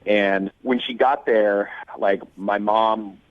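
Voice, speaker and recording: Young male caller, lowish voice, casual chat, slightly dull phone sound on radio. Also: fairly even intonation, slow pace, no room sound.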